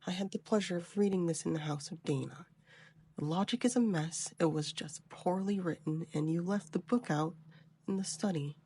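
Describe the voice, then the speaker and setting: A woman voicing a composed, clever scholar from a game, with a measured, dry, faintly condescending fan-dub delivery. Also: on the low side, mellow, slightly rough.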